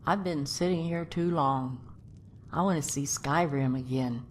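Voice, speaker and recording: A woman playing a feisty old grandmother adventurer in a video game, telling things in a folksy, unhurried way. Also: low voice, darkish tone, slight background noise. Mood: grateful, eager. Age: older. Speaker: companion character in a game mod. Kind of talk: voiced game character dialogue, storytelling.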